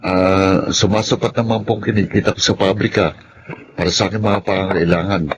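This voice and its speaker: Low-voiced polite young Filipino worker played by an actor. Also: male voice, fairly even intonation, a little fast, close to an everyday voice. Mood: earnest, hopeful. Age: young adult. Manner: respectful, earnest. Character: polite, hard-working, hopeful young factory worker. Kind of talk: Filipino radio drama acting. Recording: dull audio, noisy ambience behind. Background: ambient noise.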